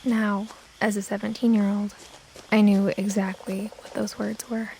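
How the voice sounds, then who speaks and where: A young woman voicing a reflective seventeen-year-old girl, narrating a story game in a soft, measured, wistful way. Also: mid-range voice, flat delivery, vocal fry, a little hiss or hum, low-quality recording. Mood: quietly wry. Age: young adult. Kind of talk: scripted first-person game narration. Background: prominent music.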